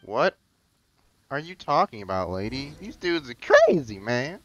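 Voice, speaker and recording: A woman's voice: extremely low pitch, big pitch swings, unhurried, muffled recording. A woman doing casual playthrough commentary, reading game lines and reacting. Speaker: gamer reading dialogue and reacting.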